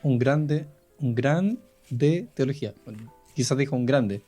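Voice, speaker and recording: A soft, dark-toned man with a Chilean accent speaks as a podcast co-host. His pitch is average, and he reads messages from listeners in a casual way, with quiet music underneath. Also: animated, measured pace. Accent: Chilean Spanish. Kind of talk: casual chat, reading listener comments.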